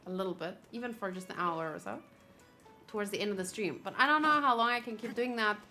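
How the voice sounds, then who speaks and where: A woman chatting casually on a gaming livestream, thinking aloud in an expressive, chatty way. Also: mid-range voice, fast talker, faint music behind. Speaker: video game streamer. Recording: clear background noise.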